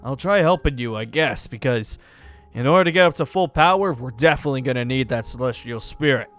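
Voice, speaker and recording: A man's voice: mid-range voice, lively intonation, fairly quick, a bit bright, natural voice. A man playing a curious, easily impressed young gunslinger in an improvised Minecraft roleplay, talking casually and full of questions. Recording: background music under the voice.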